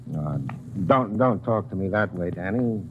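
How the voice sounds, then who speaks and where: An actor playing a hurt, earnest, hesitant police subordinate in a 1950s radio drama. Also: male voice, sits low, expressive, measured pace. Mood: hurt, pleading. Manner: hesitant, plaintive. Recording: muffled, noisy old radio recording.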